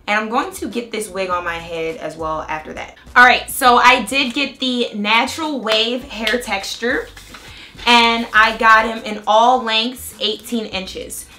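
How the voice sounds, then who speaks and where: A young woman with a bright, forward tone talks her viewers through a wig install, chatty and excited as she explains each step. A cold has left her voice a little raspy. Soft music plays quietly underneath. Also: medium pitch. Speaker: beauty vlogger. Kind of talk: casual hair tutorial and review.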